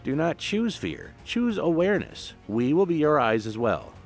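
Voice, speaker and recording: A solemn high priestess from a comic-book story, put on by a male narrator. She speaks slowly and cryptically, her pitch rising and falling widely. The effect is ominous, with faint music below. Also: sing-song. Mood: ominous warning.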